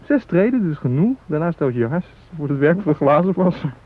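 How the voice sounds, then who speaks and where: An actor playing a chatty, naive young technical-school student, rambling colloquially in a radio drama, with a husky voice. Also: male voice, on the high side, sing-song, a little fast, slight background noise. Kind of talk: radio drama dialogue, casual chat. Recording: tinny phone-like old radio recording.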